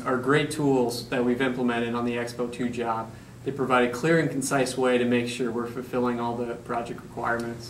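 A young man explains things in a flat, even tone at a medium pitch. He sounds set back from the mic in a roomy small office, with faint hum behind. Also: recorded from a distance. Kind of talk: prepared explainer.

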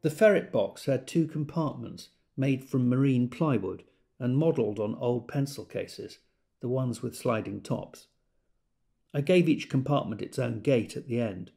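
A man's voice: medium pitch, measured pace, gravelly, mellow. An actor playing a rueful, self-doubting everyman who tells his story in a wry, confiding, fussily precise way, as in an audio drama. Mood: plain, fond. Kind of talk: dramatic monologue, audiobook-style first-person storytelling.

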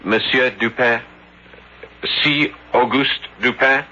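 A man's voice: medium pitch, slow, sharp tone, exaggerated voice. An actor playing an earnest, hesitant young policeman in love in an old radio mystery. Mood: awed. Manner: hesitant, pleading, easily amazed. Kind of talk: golden-age radio mystery acting. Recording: dull, noisy old radio recording.

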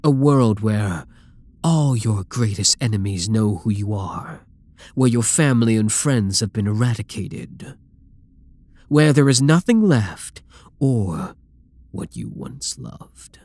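A man playing a dominant, obsessive supervillain in an ASMR roleplay, breathy and husky, speaking with slow, possessive menace. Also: lowish voice, expressive, darkish tone, heavily acted. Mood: menacing. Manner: slow, menacing, possessive, often dropping to a whisper. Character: dominant, obsessive male supervillain taunting captured hero. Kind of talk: ASMR roleplay, scripted dramatic monologue.

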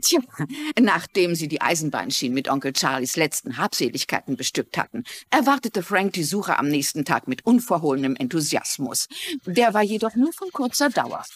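An actress playing a wry older woman mystery writer who narrates a crime story with measured irony. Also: deep voice, very animated, breathy edge, no room sound, exaggerated voice. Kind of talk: scripted storytelling narration in a dubbed crime drama.

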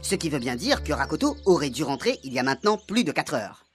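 A woman's voice: low-pitched, very animated, fast talker, soft background music. A woman giving wry, tongue-in-cheek scripted narration for a comic radio soap.

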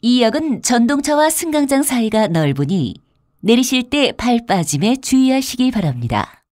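A woman's voice: a little high, very animated, moderate pace, a little mellow, no echo, studio quality. A woman reading scripted transit announcements in a formal, polite manner with careful enunciation. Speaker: subway announcer.

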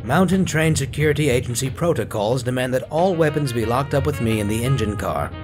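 A man's voice: medium pitch, animated, a little fast, music in the background, close to an everyday voice. Man doing a prim, formal train engineer. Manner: polite customer-service formality. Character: stiff, formal male elf train engineer. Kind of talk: comic dub voice acting.

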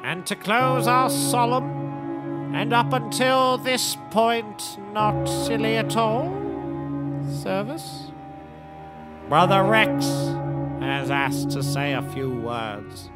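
An actress playing a composed, solemn cult leader in a comedy audio drama, measured, formal and dry. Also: female voice, mid-range voice, over loud music, natural voice.

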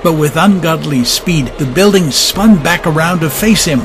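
A man's voice: a little high, normal speed, noisy background, close to an everyday voice. A man narrating a steampunk adventure audio drama as a scripted, dramatic storyteller. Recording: music under the narration.

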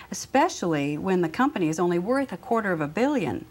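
An actress playing a sensible, skeptical audit supervisor who probes for answers in a corporate training-film drama. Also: female voice, on the low side, big pitch swings, brisk, a little mellow. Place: indoor office.

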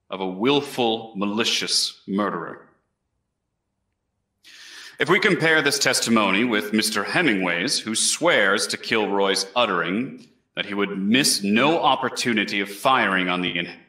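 A man's voice: lowish voice, sharp tone. A man playing an earnest colonial Crown prosecutor, formally addressing a jury in a historical courtroom reenactment. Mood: grave, accusing. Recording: echoey room.

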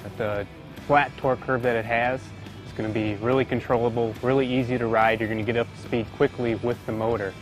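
A man's voice: medium pitch, fairly even intonation, fairly quick, rough recording. A man giving an informal technical explanation as an engineer presenting product features. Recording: music playing behind the voice. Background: background music.

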